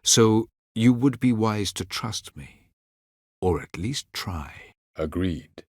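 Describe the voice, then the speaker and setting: An actor playing an ancient, aloof elven sage in a video game, speaking in a measured, formal and condescending way. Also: male voice, on the low side, lively intonation, darkish tone, no echo, clean studio sound. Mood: grave, guarded, then dry, measured. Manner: measured, formal, haughty delivery. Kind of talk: scripted video game dialogue.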